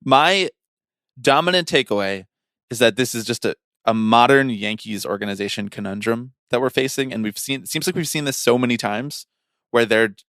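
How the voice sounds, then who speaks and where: A man's voice: mid-range voice, expressive, normal speed, fairly bright tone, dry recording, studio quality. A man in his twenties co-hosting a casual baseball podcast, laying out analysis in long, reasoned runs with repeated phrasing. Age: 20s. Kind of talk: casual sports talk, analysis.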